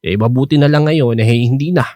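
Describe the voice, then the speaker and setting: A man's voice: mid-range voice, brisk, dark tone, no room sound, natural voice. An actor playing an older, playful Filipino womanizer who is earnestly courting, in a hesitant, coaxing radio drama style. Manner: hesitant, coaxing, peppered with interjections.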